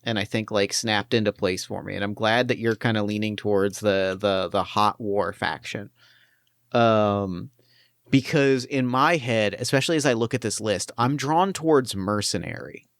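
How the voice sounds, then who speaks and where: Man, game master, smooth resonant voice, dry close sound, thinks out loud. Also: moderate pace, dry recording. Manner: thinks aloud, pitching ideas with eager restarts. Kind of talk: collaborative planning for a tabletop game.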